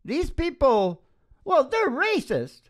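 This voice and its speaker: A man's voice: very high-pitched, huge pitch swings, slow pace. A middle-aged man chatting casually and opinionatedly as a musician hosting a live show, often checking in with "right?". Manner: opinionated, folksy, repeatedly asks "right?". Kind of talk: rambling casual chat between songs. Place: small dim room, live performance. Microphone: stand microphone close to mouth. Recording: acoustic guitar playing around the voice. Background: acoustic guitar music.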